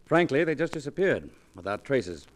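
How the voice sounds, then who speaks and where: An actor playing a formal, uneasy insurance executive in a 1950s radio drama, measured and hesitant. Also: male voice, mid-range voice, sing-song. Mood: guarded. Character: uneasy well-bred male insurance executive, guarded and formal.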